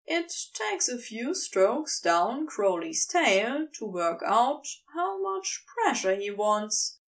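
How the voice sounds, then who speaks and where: Slow, expressive woman narrating a story. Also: a little high, recorded from a distance. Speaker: fan fiction audiobook narrator.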